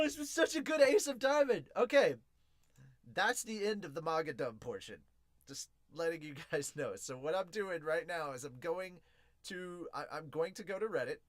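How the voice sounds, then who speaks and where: A man hosting a podcast in an excitable, informal, slangy way, chatting casually as he reviews and reads out comments. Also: fairly high pitch, no room sound.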